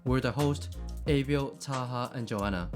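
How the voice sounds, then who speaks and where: A man's voice: medium pitch, measured pace, soft background music. A man reading a scripted podcast introduction in an announcing manner.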